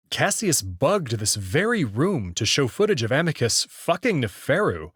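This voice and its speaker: A man narrating a visual novel read-through as its host, in an expressive, scripted storytelling style. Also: medium pitch, no echo, clean studio sound. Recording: music behind the voice. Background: background music.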